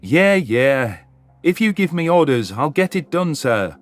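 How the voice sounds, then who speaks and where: A man's voice: on the high side, very animated, measured pace, soft background music, voice pushed up. A man voicing a proud, brooding alien veteran captain with formal, wry speech in a sci-fi audio story. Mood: grudging.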